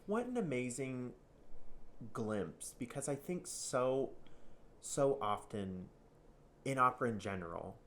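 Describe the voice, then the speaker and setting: A man's voice: medium pitch, big pitch swings, takes it slowly, pauses often. Enthusiastic male podcast host. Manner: enthusiastic, affirming. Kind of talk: casual podcast interview.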